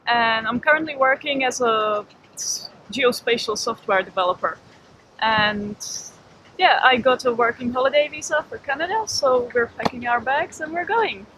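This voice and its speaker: Girl early 20s, lively vlog chat outdoors, higher voice, slight background hiss. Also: poor audio. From Czech Republic. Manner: chatty and lively, laughs easily. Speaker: vlogger. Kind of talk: casual chat. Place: outdoors with a city behind.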